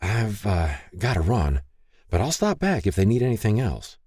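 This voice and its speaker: A man voicing a brisk, practical woman medic in an audiobook. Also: lowish voice, big pitch swings, a little mellow, no room sound, clean studio sound, voice pushed up. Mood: concerned. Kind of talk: audiobook character dialogue.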